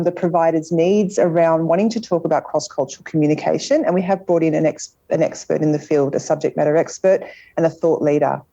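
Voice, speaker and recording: A woman hosting a training webinar who introduces the guest in a loose, informal way, pausing often with "um". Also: on the low side, dark tone, muffled recording. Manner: informal, with frequent ums. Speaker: webinar host.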